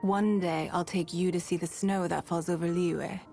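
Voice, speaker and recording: A woman's voice: fairly low pitch, fairly even intonation, moderate pace, gravelly, warm tone. A woman playing a poised, shrewd and wealthy trade magnate in a video game, speaking in a composed, imperious, formal way. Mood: poised, authoritative. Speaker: game character voice. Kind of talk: scripted video game voice lines. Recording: music behind the voice.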